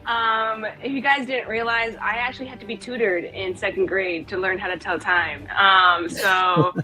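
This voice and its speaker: A woman's voice: medium pitch, fairly even intonation, normal speed, over music, rough recording. A woman chatting casually as a player at a roleplaying table, offhand, blunt and self-deprecating. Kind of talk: casual chat at a game table.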